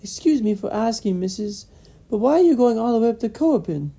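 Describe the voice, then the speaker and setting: A woman's voice: fairly high pitch, lively intonation, fairly quick, gravelly, warm tone, breathy edge, exaggerated voice. A woman voicing a polite, timid young princess with a hesitant manner, in a storybook reading. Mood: polite, curious.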